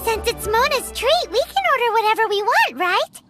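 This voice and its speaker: Tiny floating fairy companion, shrill high voice, lively swoops, eager, third-person self-talk, video game. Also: female voice, normal speed, over loud music. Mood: excited. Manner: talks about herself in third person, eager and chirpy.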